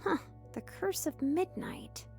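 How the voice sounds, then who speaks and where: A woman's voice: very high voice, slow, creaky, a little mellow, soft background music, full character voice. A woman voicing a blunt, playful, naive young female student in a visual novel, casual and flippant in manner. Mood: puzzled reading.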